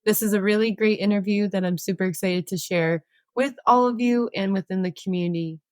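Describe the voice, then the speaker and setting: A woman's voice: mid-range voice, steady tone, fairly quick, darkish tone, no echo, clean studio sound. Upbeat woman podcast host. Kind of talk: scripted intro, then casual interview chat.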